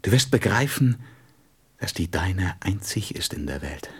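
An actor playing a gentle, wise and wistful fox in a radio play, speaking tenderly and deliberately and often dropping into whispers. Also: male voice, deep voice, exaggerated voice. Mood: tender, sad. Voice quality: husky, breathy voice that drops into whispers. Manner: deliberate, expressive, tender storybook delivery.

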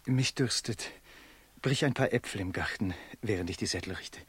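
Animated, secretive runaway lover in radio drama. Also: male voice, fairly low pitch, slightly rough, exaggerated voice. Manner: dramatic, declamatory stage diction. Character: Mohalab, runaway slave, secretive, guilt-ridden deceiving lover. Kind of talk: classic German radio play acting.

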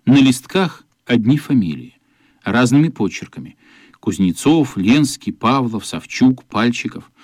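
A man's voice: medium pitch, sing-song, a little slow, a little mellow, no room sound, studio quality. An actor as a male storyteller, narrating a children's radio play in a scripted style. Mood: measured narration.

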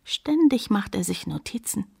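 A woman acting in a German radio play as a sceptical, long-suffering wife, husky and creaky, dry and reproachful. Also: medium pitch, animated, moderate pace, mellow, full character voice. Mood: weary complaint.

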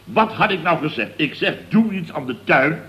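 Flustered, bright-voiced blustering boss. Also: male voice, on the high side, animated, normal speed. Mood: outraged. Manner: blustering, sputtering, repeats himself. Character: flustered, indignant contractor boss, forever exasperated. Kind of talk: radio comedy acting.

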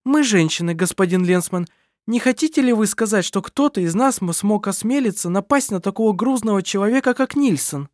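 A reader voicing a furious young woman who shouts accusations in an audiobook. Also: expressive, a little fast, no room sound, studio quality, lightly acted. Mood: angry. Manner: shrill, shouting.

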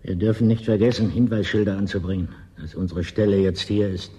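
An actor in a German radio play as an evasive, pedantic official who stubbornly denies danger. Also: male voice, fairly low pitch, fairly even intonation, brisk, warm tone, natural voice. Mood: officious, matter-of-fact. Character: evasive male settlement bureaucrat, stubbornly denying the danger.

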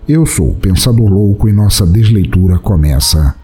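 A man with a Brazilian accent, hosting and narrating a scripted podcast audio drama in a theatrical, deliberate way. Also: lowish voice, lively intonation. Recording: soft music behind.

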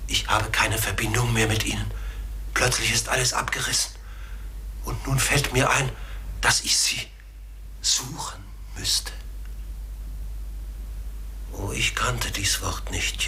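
A man acting in a German radio play as a forlorn outcast raven, speaking gravely and haltingly with a lamenting, breathy delivery. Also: medium pitch, pushed and bright, full character voice. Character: forlorn talking male raven, outcast and afraid.

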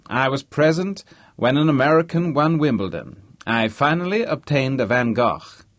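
Male reader doing a rich middle-aged american businessman, earnest n respectful, speechy, bit raised, husky, audiobook. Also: mid-range voice, a bit bright, dry recording, clean studio sound, pitched above the performer's own voice.

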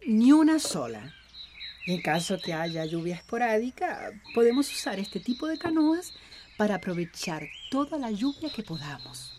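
An actress playing a flustered, practical, easily irritated village woman in a radio soap opera, excitable. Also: mid-range voice, normal speed, a little hiss or hum, close to an everyday voice. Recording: faint birds and rural ambience.

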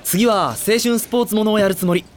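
A man's voice: sits high, fairly even intonation, fast talker, faint music behind, close to an everyday voice. A man playing a modest, earnest young student film director in an anime comedy drama, speaking self-effacingly. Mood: reflective.

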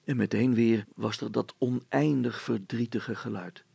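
A man's voice: medium pitch, fairly even intonation, unhurried, vocal fry, warm tone, husky, no echo. A man narrating a children's audiobook as a scripted storyteller.

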